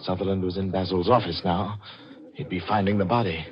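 A man's voice: low voice, fairly even intonation, a little fast, a crowd in the background, lightly acted. An actor playing a cunning, cold-blooded man who narrates his own murder scheme in tense, dramatic 1940s radio style. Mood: hushed, furtive. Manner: tense dramatic swings from hushed to frantic. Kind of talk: 1940s radio mystery acting. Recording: muffled old radio broadcast, music behind.

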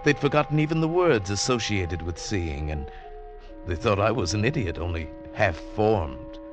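Earnest, animated male adventurer. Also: fairly low pitch, music in the background. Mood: reflective narration. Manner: earnest, intense, halting. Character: earnest sighted adventurer from Bogota, recounting his ordeal. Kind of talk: 1940s radio acting, dramatic narration.

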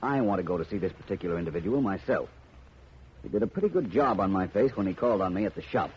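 A slightly hissy old radio recording carries a male private detective. His voice sits on the low side, self-assured and shrewd with a tough edge, played as in a classic detective serial. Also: animated, fast. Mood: cool, assured. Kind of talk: 1940s-50s radio detective drama acting. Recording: muffled old radio recording, light hiss.

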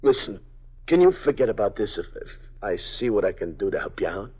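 A man's voice: fairly low pitch, very animated, fairly quick, breathy edge. An actor playing a nervous male parolee informant who stammers and pleads, in 1950s radio drama style. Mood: pleading. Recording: dull old radio broadcast.

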